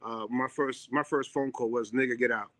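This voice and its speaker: A man's voice: mid-range voice, steady tone, moderate pace, a bit bright, no room sound. Middle-aged New York man, theater owner and chef, earnest, repeats himself, dry close sound. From Puerto Rico. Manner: earnest, repetitive, many ums and restarts. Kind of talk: casual interview chat. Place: theater seat on a live call.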